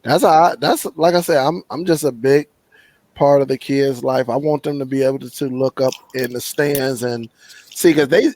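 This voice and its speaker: A man's voice: mid-range voice, fairly even intonation, normal speed, darkish tone. A man talking as a guest in a casual live chat, telling a heartfelt personal story earnestly.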